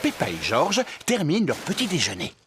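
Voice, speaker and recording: A man's voice: on the high side, wildly swooping pitch, brisk, very noisy. A man narrating a children's cartoon in a scripted storytelling style. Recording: loud music behind the voice.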